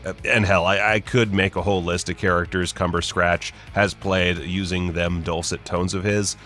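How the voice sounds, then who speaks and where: A man's voice: low voice, flat delivery, normal speed, bright, forceful tone, faint music behind. A man narrating a scripted list video as host, with wry, sarcastic, joking asides. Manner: wry, sarcastic, jokey mock-casual delivery.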